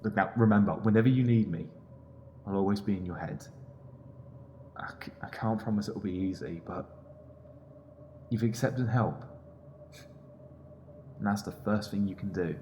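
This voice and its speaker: A man's voice: fairly low pitch, normal speed, pauses often, warm tone, a little hiss or hum, natural voice. An actor playing a man's confident inner self in an audio drama, earnest and pleading, with an emphatic, coaxing manner. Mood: encouraging.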